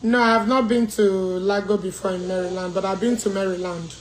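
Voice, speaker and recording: A woman's voice: medium pitch, steady tone, a little fast, a little hiss or hum. Nigerian woman, live call-in host, scolding, code-switching. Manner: scolding, mixing English with Igbo.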